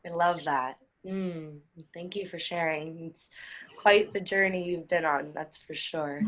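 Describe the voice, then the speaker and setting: A woman hosting an interview on a video call, speaking in a gentle, affirming way. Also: fairly low pitch, unhurried. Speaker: host. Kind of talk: casual interview.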